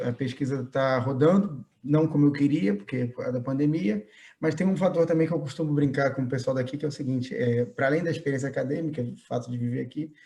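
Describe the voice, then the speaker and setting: A man's voice: mid-range voice, gravelly, dark tone. This is a man with a Carioca Brazilian accent and a mid-range voice. He talks casually over a video call, in an easygoing, storytelling way, with frequent fillers and restarts. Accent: Carioca Brazilian Portuguese. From Rio de Janeiro, Brazil. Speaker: guest, historian. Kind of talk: casual conversation.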